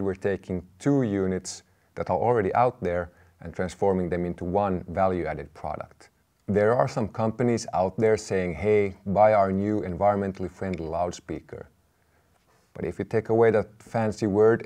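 A man in his thirties giving a measured, explanatory product presentation to camera. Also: sits low, warm tone. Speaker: presenter, company representative. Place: indoor room with brick wall, seated at a table.

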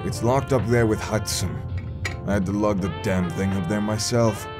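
Male actor as prissy wizard steward, low voice, stuffy, sarcastic, put-upon, cartoon comic dub. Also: moderate pace, music in the background, natural voice. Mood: exasperated. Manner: stuffy, sarcastic, formally polite. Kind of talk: comic dub character acting.

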